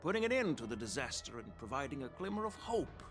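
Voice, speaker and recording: A man voicing a dignified, courteous official in a video game, with ceremonious phrasing and a gravelly edge. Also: mid-range voice, big pitch swings, fast, close to an everyday voice. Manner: ornate, ceremonious. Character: courteous, dignified male official, formal and earnest. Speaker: game character voice actor. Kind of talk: formal dramatic game dialogue. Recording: music behind the voice.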